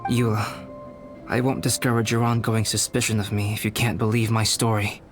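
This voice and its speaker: A low-pitched young scholar-knight acted by a man with a calm, detached, analytical manner. His speech is formal and carefully measured, a game performance with music clearly underneath. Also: lightly acted. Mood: composed, resolute. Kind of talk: video game voice acting.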